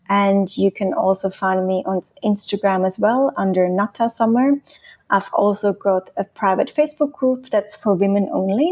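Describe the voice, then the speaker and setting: A woman from Switzerland, whose first language is Swiss German, talks through her work as a relationship coach in a friendly, flowing way. Her voice sits at a mid pitch, and she laughs readily. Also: a little mellow. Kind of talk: podcast interview answers.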